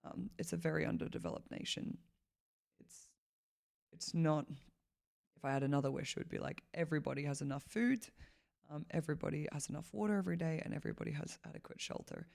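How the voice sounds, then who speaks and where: A woman's voice: low-pitched, quick pace, creaky, darkish tone. A woman from Malawi talking as a podcast guest in a loose, rambling way, leaning hard on "like" and laughing often. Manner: rambling, heavy use of "like" fillers, laughs often. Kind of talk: casual conversational interview.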